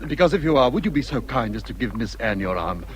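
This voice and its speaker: Costume radio drama: good-natured gentleman, man acting, animated middle-register voice, chatty and hearty, forever bustling. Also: medium pitch, quick pace, close to an everyday voice. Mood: hearty, brisk. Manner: hearty, chatty, well-meaning. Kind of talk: period literary radio drama.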